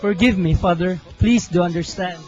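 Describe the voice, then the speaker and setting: A man's voice: high-pitched, moderate pace, darkish tone, lightly acted. A young man playing a lovesick, self-sacrificing hero in a student radio drama, earnest and melodramatic. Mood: earnest, defiant. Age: young adult. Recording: muffled amateur recording, music behind.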